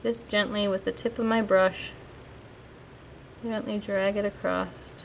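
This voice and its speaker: Calm woman teaching a painting lesson. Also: medium pitch, a little slow, vocal fry, a little hiss or hum, poor audio. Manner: calm, step-by-step explaining. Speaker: art teacher. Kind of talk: painting demonstration. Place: indoors at a table, hands-only overhead camera.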